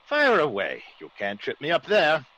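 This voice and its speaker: Husky, animated English gentleman. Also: on the high side, moderate pace, exaggerated voice. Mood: genial, dismissive. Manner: polite, old-fashioned upper-class exclamations. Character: genteel, easygoing English gentleman of old family. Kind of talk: classic radio drama acting. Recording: dull old radio recording.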